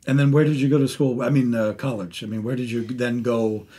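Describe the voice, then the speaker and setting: A man's voice: medium pitch, level tone, a little fast, dark tone, slightly rough. A man hosting a podcast, chatting casually as he interviews a guest.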